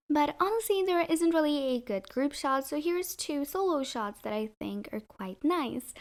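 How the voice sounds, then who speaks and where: A woman with a high voice that has gone hoarse, talking through a scripted voice-over. She rates things with playful, sarcastic jabs and strong opinions. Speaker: YouTuber reviewing music video thumbnails.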